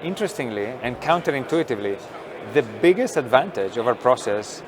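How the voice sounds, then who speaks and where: A middle-aged man, a company founder explaining technology in an interview, thoughtful with many pauses and fillers. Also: medium pitch, sing-song, crowd noise. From Italy. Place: trade show booth studio.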